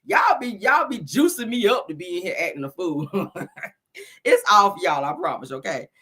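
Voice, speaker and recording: A woman hosting a celebrity gossip show with sassy, animated commentary, her voice a little sick and deeper than usual. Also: normal speed, husky. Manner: loud, sassy, calls viewers honey and baby.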